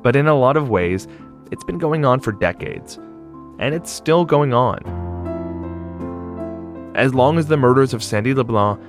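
A man narrates a documentary podcast in a somber, reflective tone, speaking from a script. His pitch sits in the middle of the range, and a faint music bed plays beneath him. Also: lively intonation, a little slow, pauses often.

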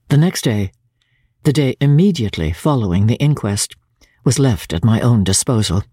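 Expressive man narrating, slightly husky, very dry sound. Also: mid-range voice, no echo. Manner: measured, formal, expressive storytelling. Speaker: audiobook narrator. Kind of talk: dramatic literary narration of a classic novel, first person.

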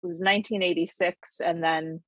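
Low-voiced reflective woman in her forties. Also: fairly even intonation, moderate pace, fairly bright tone, no echo. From Connecticut, USA. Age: 40s. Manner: reflective, frequent laughs and fillers. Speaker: guest. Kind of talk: conversational interview.

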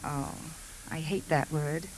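A woman acting a gentle, anxious widowed stepmother in a 1950s radio drama. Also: low-pitched, fairly even intonation, slow pace, low-quality recording. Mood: anxious, affectionate. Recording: 1950s radio broadcast with faint noise.

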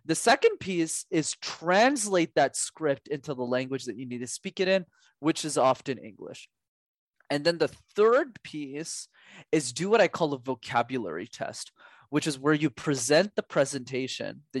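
A man who coaches public speaking, talking as a podcast guest in an energetic, emphatic way. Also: on the high side, no room sound. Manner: energetic, emphatic, animated explanations. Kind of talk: casual interview chat.

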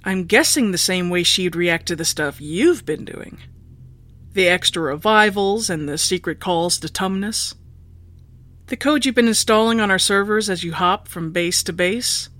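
An actress playing a calm, persuasive revolutionary plotter in a naturalistic audio drama. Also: female voice, lowish voice, a little slow. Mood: pointed.

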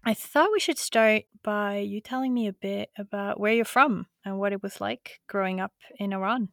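A woman hosting a podcast opens with a lively scripted introduction in a mid-range voice, then moves into playful, curious questions. Faint music plays softly underneath her. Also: mid-range voice, normal speed, creaky, no room sound, studio quality. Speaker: podcast host.

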